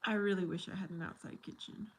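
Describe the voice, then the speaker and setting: A woman's voice: on the low side, fairly even intonation, fast talker, breathy edge. A woman chatting casually with viewers on a livestream, slipping Spanish phrases in between English. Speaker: livestream host.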